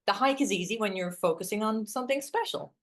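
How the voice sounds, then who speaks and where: A woman's voice: mid-range voice, expressive, fast talker, close to an everyday voice. A woman acting in a naturalistic drama as a wry, self-deprecating best friend who sighs, hesitates and teases. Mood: nostalgic, wry.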